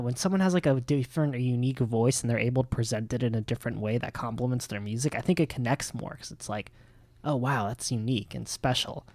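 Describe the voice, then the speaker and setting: A man's voice: mid-range voice, a little mellow, no room sound. A man with a somewhat nasal voice, co-hosting a podcast and giving his thoughts on an album between lots of fillers. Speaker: podcast co-host. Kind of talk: casual album review chat.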